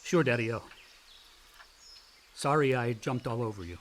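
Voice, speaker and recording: A man's voice: medium pitch, a little slow, slight background noise, close to an everyday voice. A man acting a bitter, hot-tempered young adult son in a naturalistic radio play, blunt and sarcastic. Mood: wry.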